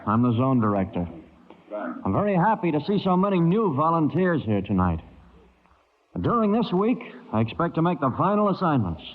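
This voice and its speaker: A courteous, orderly official running a meeting in a vintage radio drama. His fairly high male voice moves with animated inflection. The sound is narrow and muffled. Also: normal speed. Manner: polite, businesslike, addressing a meeting. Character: courteous, orderly male civil defense zone director. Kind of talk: 1940s-50s radio drama acting. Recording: muffled, narrow old-time radio recording.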